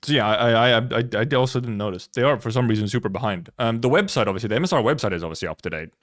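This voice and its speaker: A man live streaming in casual chat, rambling and haltingly thinking aloud, with some offhand swearing. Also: medium pitch, animated, fairly bright tone. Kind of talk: casual chat, reacting to viewers.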